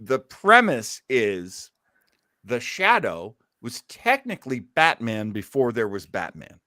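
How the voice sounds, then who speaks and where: A man's voice: mid-range voice, wildly swooping pitch, slow, sharp tone, dry recording. Chatty man with a dry, close sound. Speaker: podcast host. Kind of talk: casual chat about old time radio.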